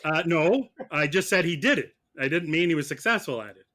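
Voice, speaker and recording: Sarcastic guy being a joke prosecutor, bright tense voice, kinda high, stumbles along conversationally. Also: lively intonation. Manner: sarcastic, halting. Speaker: mock-trial prosecutor on a film podcast. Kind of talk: mock courtroom argument, conversational.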